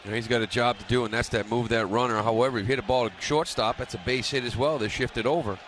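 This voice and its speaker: A man's voice: medium pitch, fairly even intonation, quick pace, fairly bright tone. A man giving casual, informal baseball analysis as a radio colour commentator, in short plain phrases. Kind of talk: casual live sports analysis. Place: broadcast booth at an outdoor ballpark. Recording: slightly noisy stadium radio broadcast.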